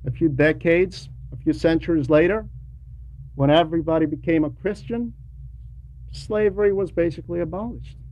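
A man giving a homily to a class in a deliberate, didactic way, pausing between short phrases and repeating words for emphasis, on a dull, lo-fi recording. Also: on the high side, a little mellow. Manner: didactic, poses rhetorical questions. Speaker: religious teacher reading a translated homily to a class. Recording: dull lo-fi recording with faint noise.

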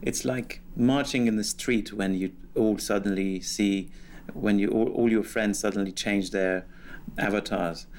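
A man's voice: fairly low pitch, steady tone, unhurried, darkish tone. A man speaking as a podcast guest, answering thoughtfully with hesitant restarts. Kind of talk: conversational interview answers.

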